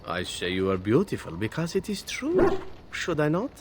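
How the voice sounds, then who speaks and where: An actor playing a smooth-talking, flirtatious assassin with flowery, courtly phrasing in a video game. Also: male voice, fairly high pitch, huge pitch swings, normal speed, heavy background noise. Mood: flirtatious, charming. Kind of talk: video game dialogue acting.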